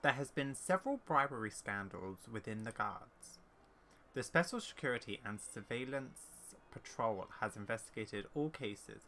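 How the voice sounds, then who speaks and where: Bright-voiced gaming streamer, faint background noise. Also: mid-range voice, animated, rough recording. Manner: halting when reading aloud. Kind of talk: casual game playthrough commentary, reading text aloud.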